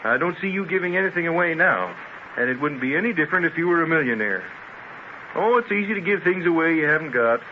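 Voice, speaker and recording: A man's voice: on the high side, animated, fast, lightly acted. An actor playing a sceptical, teasing young messenger boy in a 1930s radio drama. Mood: doubtful, teasing. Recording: muffled, noisy 1930s radio transcription.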